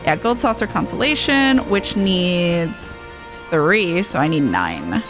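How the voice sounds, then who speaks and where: A woman's voice: lowish voice, very animated, takes it slowly, over music. A woman game streamer giving casual playthrough commentary and reading quest text aloud.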